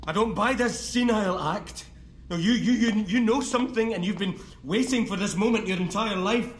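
A man plays a distraught young man in an audio drama, confrontational and insistent. His voice sits fairly high. The room is echoey, with faint music behind him. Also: normal speed, close to an everyday voice. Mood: accusing. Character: distraught, accusing young man, returned lost twin. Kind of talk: audio fiction drama acting. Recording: roomy sound with music behind.

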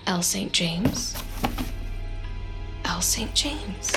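A woman's voice: medium pitch, animated, music in the background. An actress playing a lonely, nosy, awkward woman who works as a data analyst, in a scripted audio drama. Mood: wry, brooding. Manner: awkward, halting, wry, muttering to herself. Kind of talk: scripted audio drama, dialogue and inner monologue.

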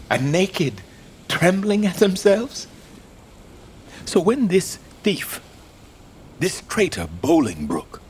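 A man's voice: a little high, slight background noise. An actor playing a proud, doomed young English king, speaking in grand, declamatory Shakespearean verse that swings from whispers to ringing cries. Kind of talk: Shakespearean stage acting.

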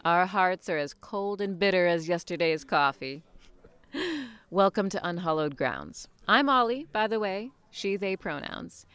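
A woman's voice: lowish voice, lively intonation, measured pace, a bit bright, clear, resonant tone. A woman voicing a sardonic young barista who teases her regulars with dry wit, in an audio drama. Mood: wry. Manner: wry, playful teasing. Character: sardonic young nonbinary barista, teasing and witty.

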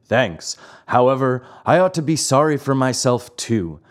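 A man voicing a huge, bearded, gruff but soft-hearted puppet master in a commanding, theatrical storybook style. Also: medium pitch, lively intonation, unhurried, natural voice. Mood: grumbling.

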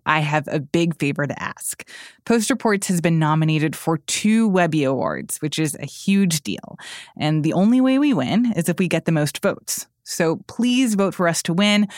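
Female news podcast host: clear, measured delivery, low pitch, dry booth. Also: no echo.

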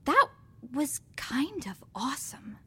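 A woman's voice: sits high, big pitch swings, takes it slowly, pushed and bright, full character voice. A woman playing a frank, easygoing crewmate in a space audio drama, talking in a casual, understated way. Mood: quietly candid. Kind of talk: naturalistic audio drama dialogue.

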